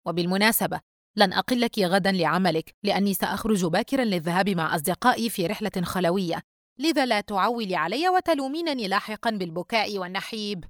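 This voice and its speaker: A woman's voice: on the low side, fast talker, no echo, clean studio sound, close to an everyday voice. A woman voicing a callous, mocking teenage boy, cold and sarcastic, in dramatised audiobook dialogue. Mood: irritated. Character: callous, mocking teenage brother, sly and indifferent.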